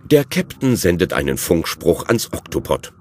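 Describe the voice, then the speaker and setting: A man's voice: low voice, very animated, moderate pace, a little hiss or hum. A man narrating a children's audio drama as a scripted storyteller.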